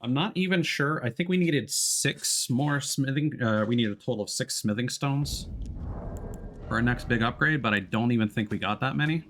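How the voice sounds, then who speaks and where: A man's voice: mid-range voice, moderate pace, a bit bright, a little hiss or hum. A man giving casual, easygoing live commentary as he plays a video game, thinking aloud. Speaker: game streamer.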